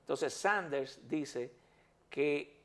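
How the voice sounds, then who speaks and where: Man, lawyer guest, slightly high voice, insistent, repeats words to keep talking. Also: very slow, fairly bright tone. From Dominican Republic. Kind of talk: heated talk-show debate.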